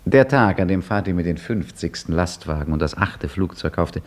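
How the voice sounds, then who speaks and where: A man's voice: sits low, moderate pace, natural voice. An actor playing Hakim, a brooding, idle husband who narrates his story with wry reflection in a 1950s radio play. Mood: reflective. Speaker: narrator and lead character. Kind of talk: 1950s radio drama acting.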